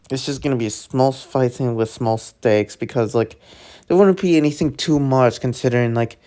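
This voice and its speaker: A man's voice: mid-range voice, a little mellow. A young man giving casual commentary on a movie trailer, rambling with many "like" fillers and restarts. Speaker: trailer reaction vlogger.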